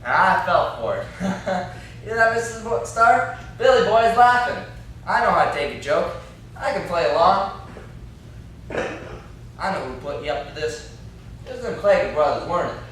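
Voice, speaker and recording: A man's voice: sits high, expressive, normal speed, pauses often, fairly bright tone. A young man playing an indignant, blustering country captive in an amateur stage play, with a folksy, complaining, threatening way of talking. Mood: uneasy, blustering. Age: young adult. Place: classroom-like room, indoors. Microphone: far camera. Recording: echoey room, distant camera mic, faint noise.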